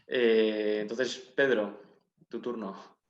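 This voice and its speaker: A man moderating questions, speaking politely and haltingly as he hands over the turn. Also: lowish voice, steady tone. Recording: echoey, muffled, noisy microphone.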